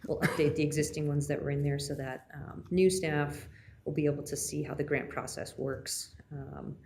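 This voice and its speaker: Brief: woman, municipal staffer at the podium, monotone with some vocal fry. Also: low-pitched, moderate pace. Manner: steady, many fillers, trailing off quietly. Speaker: city grants staff presenting to council. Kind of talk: formal council presentation. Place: city council chamber.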